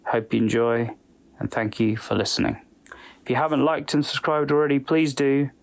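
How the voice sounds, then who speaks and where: A man hosting a podcast, giving a semi-scripted introduction in a measured, informal way. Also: medium pitch, little pitch movement, creaky. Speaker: podcast host.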